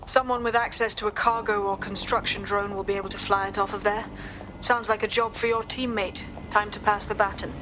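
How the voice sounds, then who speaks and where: Resistance leader, video game, female actor: medium-pitched, resolute, rallying, slight background noise. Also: little pitch movement, fairly quick, close to an everyday voice. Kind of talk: scripted video game dialogue.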